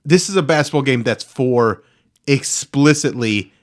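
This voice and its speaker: A man co-hosting a podcast in casual sports chat, making his case in a halting way with repeated words. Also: medium pitch, expressive. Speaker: podcast co-host.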